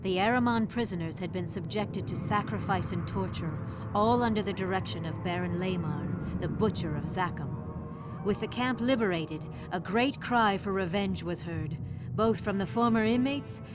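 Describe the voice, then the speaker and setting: A woman's voice: mid-range voice, moderate pace. Solemn woman narrator over music. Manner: solemn, storytelling. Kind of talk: dramatic scripted fantasy game narration.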